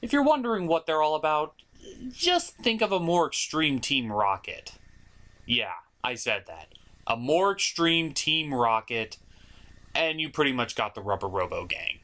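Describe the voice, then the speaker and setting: A man's voice: fairly high pitch, sing-song, a little slow, sharp tone. A man hosting a review show, giving informal scripted commentary in an opinionated, conversational way with asides to the viewer. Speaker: host of a cartoon review show.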